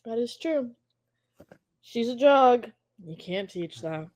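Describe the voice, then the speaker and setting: A woman's voice: fairly high pitch, lively intonation, takes it slowly, a little mellow. A woman co-hosting a casual sports podcast, chatty and full of questions. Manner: chatty, curious, asks many questions. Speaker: podcast co-host.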